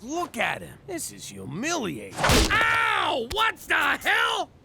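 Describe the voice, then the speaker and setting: An actor playing an exasperated, wisecracking middle-aged mobster dad with a New York accent in an adult cartoon. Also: male voice, very high-pitched, wildly swooping pitch, takes it slowly, gravelly, shouting, noisy background, heavily acted. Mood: agitated. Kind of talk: adult cartoon comedy voice acting.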